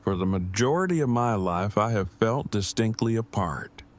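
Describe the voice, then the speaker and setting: A man playing an awkward, bookish groom in an audio drama, sincere, with stiff, measured, wordy phrasing. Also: lowish voice, animated, natural voice. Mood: reflective. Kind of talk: fan audio drama acting.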